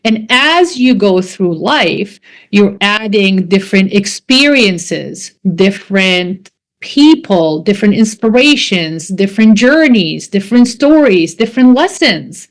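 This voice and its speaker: A middle-aged woman from Jordan, hosting a podcast interview in an unhurried, emphatic way that repeats key words. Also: medium pitch, expressive. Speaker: podcast host. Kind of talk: casual interview chat.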